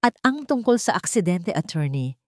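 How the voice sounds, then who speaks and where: A woman's voice: fairly low pitch, big pitch swings, normal speed, darkish tone, dry recording, clean studio sound. A Filipino woman voicing a stern, resolute wealthy matriarch with a firm, formal manner in an audio story. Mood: grave.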